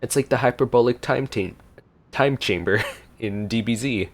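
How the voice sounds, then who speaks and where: A man's voice: mid-range voice, moderate pace. A man giving casual, unscripted gameplay reaction commentary as a streamer, musing aloud as he plays. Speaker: gaming streamer. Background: game music.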